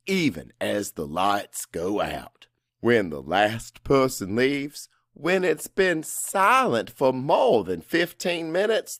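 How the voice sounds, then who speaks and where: A man's voice: mid-range voice, no echo, studio quality. A man narrating first-person dramatic fiction in a brooding, confessional manner. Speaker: audiobook narrator.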